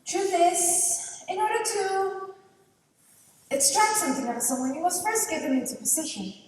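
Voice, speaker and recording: A woman playing a mocking, scheming old swamp witch in a stage play, theatrical and deliberate. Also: very high voice, expressive. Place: large indoor theater stage. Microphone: distant camera. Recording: echoey theater stage from far off.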